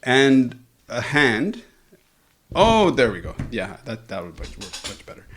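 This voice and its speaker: A man's voice: mid-range voice, sing-song, a little slow, fairly bright tone. A man hosting an interview in a guest's home, talking casually with playful teasing and frequent laughter. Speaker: podcast host.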